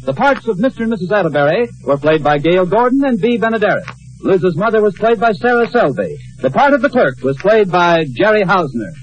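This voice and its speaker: A man's voice: high voice, very animated, fairly quick, dull audio. A man announcing on a 1950s radio show with polished, formal delivery. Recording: old-time radio broadcast recording.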